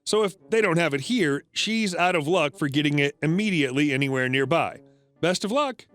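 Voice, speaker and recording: A man with a high-pitched voice reads reddit stories aloud in a scripted narration. His delivery is flat and even, broken by sudden jumps in pitch. Faint music plays quietly behind him.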